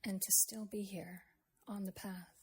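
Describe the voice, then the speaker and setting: A woman leads a guided meditation in a gentle, unhurried manner, leaving long pauses between phrases. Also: mid-range voice, steady tone, breathy edge. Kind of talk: slow guided meditation, with a poem recited.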